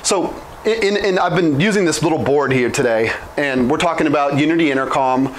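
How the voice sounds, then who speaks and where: A man in his thirties explaining software licenses at a whiteboard, talking quickly and informally with frequent restarts and self-corrections, on a lapel mic in a small room. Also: a little high, slight background noise, poor audio. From Oklahoma. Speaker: product trainer.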